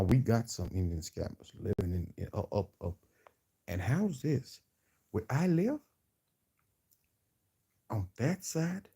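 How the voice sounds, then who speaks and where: Male vlogger, very dark, relaxed tone with gravelly creak, affected Southern US drawl, slangy off-the-cuff monologue. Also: lowish voice. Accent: put-on Southern US drawl. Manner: slangy, repetitive, halting. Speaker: vlogger addressing followers. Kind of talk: rambling casual monologue to camera.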